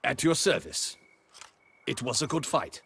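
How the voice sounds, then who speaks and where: An actor playing a proud, courteous knight in a video game, with a raspy voice and formal, earnest phrasing. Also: male voice, mid-range voice, big pitch swings, unhurried, a bit bright, slight background noise, heavily acted. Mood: formal, respectful.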